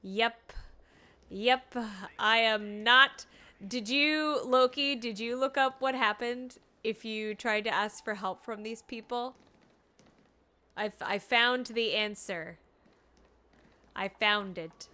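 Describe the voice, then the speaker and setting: A woman's voice: fairly high pitch, slow pace, pushed and bright. A woman chatting casually and informally as she streams a game. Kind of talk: casual livestream chat. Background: game music.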